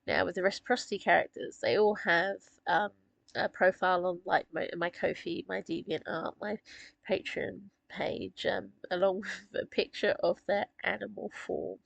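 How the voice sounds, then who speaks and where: A woman with a croaky, gravelly voice from a sore throat, chatting casually about characters she wrote, rambling with fillers and small laughs. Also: mid-range voice, fairly even intonation, moderate pace, no echo. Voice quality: gravelly, husky. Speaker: author discussing her characters. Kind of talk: casual chat.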